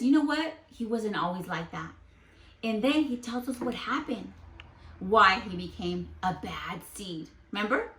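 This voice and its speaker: Woman, elementary teacher, mid-pitched, expressive read-aloud delivery with question prompts, echoey room. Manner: expressive, prompting with questions, repeats key phrases. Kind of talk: interactive children's read-aloud lesson.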